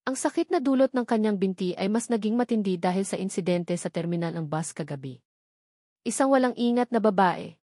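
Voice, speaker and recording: A woman reading a romantic drama aloud as its narrator, earnest and measured. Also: medium pitch, lively intonation, mellow, no room sound, studio quality. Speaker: storyteller.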